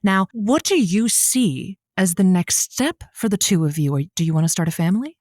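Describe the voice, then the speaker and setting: A woman's voice: mid-range voice, expressive, moderate pace, darkish tone, no room sound, lightly acted. An actress plays a woman hosting a radio advice line, folksy and full of sympathy. Her mid-range voice is recorded dry and close. She soothes callers with colloquial, playful phrasing. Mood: probing, upbeat. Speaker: call-in radio host. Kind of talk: improvised radio advice show drama.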